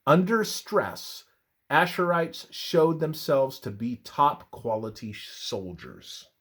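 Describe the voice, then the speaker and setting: A man narrates a religious text in a husky, mid-pitched voice. His pace is slow and measured, and his intonation moves widely up and down. The delivery is solemn and preacherly, clearly read from a script. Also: sing-song, fairly bright tone.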